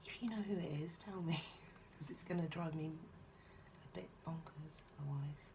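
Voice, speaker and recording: A woman reading a children's picture book aloud in a soft-spoken, musing way, with informal, chatty asides. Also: low voice, moderate pace, noisy background.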